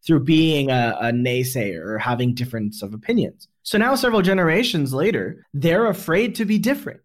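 Reflective man, fairly high voice. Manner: reflective, explanatory. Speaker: podcast host. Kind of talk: casual podcast conversation.

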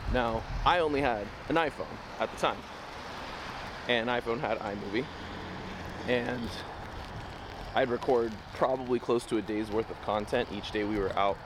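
A man hosting a walking vlog, losing his voice, talking in a casual, rambling, upbeat motivational way. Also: mid-range voice. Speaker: vlogger host. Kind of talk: walking talk to camera, motivational. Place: outdoors on a city street. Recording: noisy outdoor street recording.

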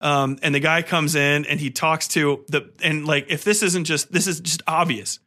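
A man podcast host giving a casual, rambling film review, enthusiastic and profane, often halting and repeating himself. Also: on the high side. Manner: animated, enthusiastic; profane, slangy.

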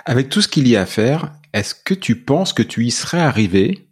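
A man hosting a podcast interview, asking measured, probing questions. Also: mid-range voice, sing-song, slightly rough. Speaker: podcast host.

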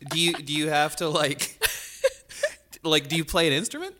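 Lively, joking young podcast host. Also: male voice, a little high, noisy background. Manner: quick joking asides, lots of laughing. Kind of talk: casual chat.

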